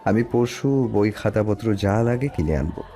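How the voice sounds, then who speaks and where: A man playing a protective husband in an audio story, speaking softly with gentle authority as he instructs his wife. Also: lowish voice, lively intonation, moderate pace, faint music behind, close to an everyday voice. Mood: calm, instructing. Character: soft-spoken, protective, older husband instructing young wife. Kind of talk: Bengali audio story acting.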